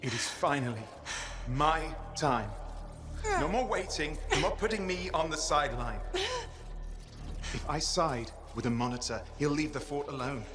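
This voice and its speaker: An actor playing a smug, resentful male traitor in a video game, taunting theatrically in drawn-out phrases over music. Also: a little high, expressive, lightly acted. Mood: bitter, exultant. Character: smug, resentful young male traitor, gleefully betraying allies. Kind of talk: cinematic video game acting.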